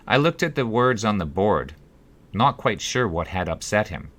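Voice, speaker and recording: A man reading his own first-person story as an audiobook, with measured, deliberate phrasing. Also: fairly low pitch, very animated, fairly bright tone. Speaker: author reading own book.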